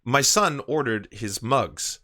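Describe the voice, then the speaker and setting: A man's voice: mid-range voice, expressive, takes it slowly, bright, forceful tone. A man making a prank phone call, complaining with earnest, indignant insistence. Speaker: prank caller posing as churchgoing parent.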